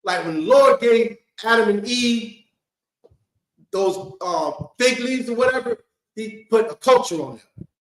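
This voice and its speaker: A man's voice: very high-pitched, measured pace. A man leading a holy day gathering, talking in a loose, conversational way and often checking in with "okay?" and "you know what I mean?". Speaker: congregation leader. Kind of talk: informal sermon to a congregation. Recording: echoey hall.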